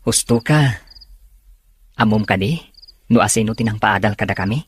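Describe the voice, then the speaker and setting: A man's voice: lowish voice, normal speed, slight background noise, lightly acted. A man playing a skeptical, questioning young man in an Ilocano radio drama. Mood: calm. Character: skeptical, inquisitive young city boarding-house friend.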